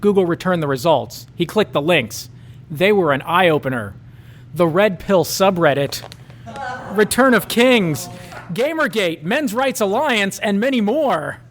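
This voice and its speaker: A man's voice: high voice, expressive, a little slow, a little hiss or hum. A man reading a raunchy comic story aloud at a live show, with mock-dramatic narration.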